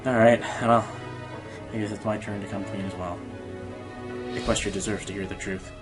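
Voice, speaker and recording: A man's voice: on the low side, steady tone, normal speed, close to an everyday voice. Man playing an anxious pony radio host, music-backed. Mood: resigned. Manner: worried, hesitant, sighing. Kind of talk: radio play acting. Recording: loud music over the voice.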